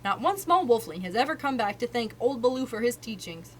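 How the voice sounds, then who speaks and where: Woman doing an old bear teacher, gruff but tender. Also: a little high, sing-song, a little fast, a little hiss or hum. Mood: lecturing. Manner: lecturing, solemn.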